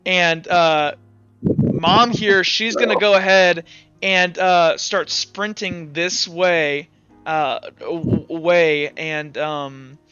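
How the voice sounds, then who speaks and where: Bright, clear, high-voiced man running a game. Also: steady tone, no room sound, dull audio, faint music behind. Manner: halting, casual, swears freely. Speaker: game master. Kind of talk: improvised tabletop game narration.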